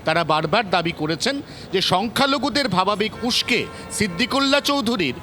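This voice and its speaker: A male field reporter with a West Bengal Bengali accent speaks in a high, forceful voice. He explains point by point with emphasis, with faint outdoor traffic noise behind him. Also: animated, brisk. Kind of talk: live explanatory news report.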